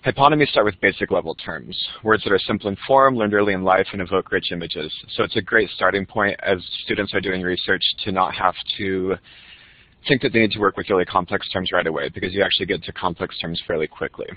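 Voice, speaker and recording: A man with a cold presenting a teaching method in a webinar, explaining in a steady, slightly halting way. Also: sits low, no echo. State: has a cold. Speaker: college instructor. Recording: muffled dry webinar audio.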